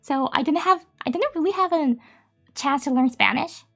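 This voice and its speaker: A woman's voice: high voice, normal speed, fairly bright tone, soft background music. A woman streamer whose native language is Japanese, chatting casually and giggling often, with many fillers. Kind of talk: casual live-stream chat.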